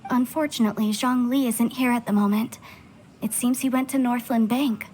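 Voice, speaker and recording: A woman's voice: a little high, steady tone, moderate pace, noisy background, lightly acted. An actress playing a polite young woman receptionist in a video game, speaking formally. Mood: polite, apologetic.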